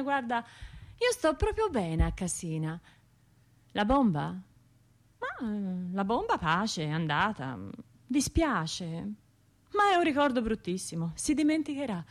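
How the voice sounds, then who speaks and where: An actress playing an anguished, guilt-ridden Tuscan woman survivor in a radio drama monologue, halting and arguing with herself. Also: fairly high pitch. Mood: wry, agitated. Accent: Tuscan Italian. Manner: halting, self-questioning, argues with herself.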